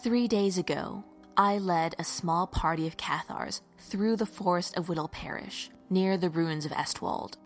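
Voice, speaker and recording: A woman's voice: fairly low pitch, a little slow, faint music behind. A woman playing a stern, defiant holy warrior in a fantasy audio drama, speaking formally and resolutely. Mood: solemn, resolute.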